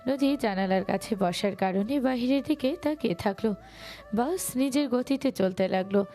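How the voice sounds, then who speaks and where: A woman's voice: on the high side, moderate pace, warm tone, faint music behind. A woman reading scripted narration for a romantic audio story.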